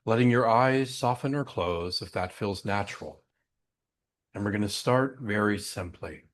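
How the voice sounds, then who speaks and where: Low-voiced man guiding meditation. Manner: gentle, unhurried, with long pauses. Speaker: meditation guide. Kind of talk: guided breathing meditation.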